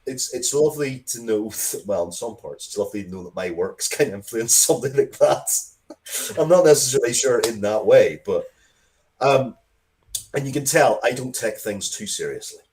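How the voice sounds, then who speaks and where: A man's voice: medium pitch, animated, moderate pace, darkish tone, slight background noise, low-quality recording. A man chatting casually as an interview guest, rambling and often breaking off to restart his sentences. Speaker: interview guest, comics and game writer.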